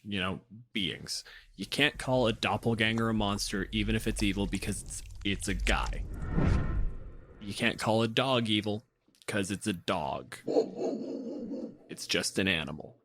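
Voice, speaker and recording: A man's voice: fairly low pitch, pushed and bright, dry recording. A man hosting a casual tabletop gaming discussion, explaining his opinions plainly. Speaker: podcast host.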